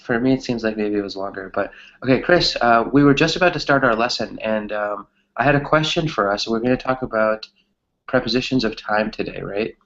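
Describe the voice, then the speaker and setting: A man's voice: lowish voice, brisk, fairly bright tone, lo-fi sound. A man teaching a conversational English grammar class, often checking in with a questioning tag as he explains.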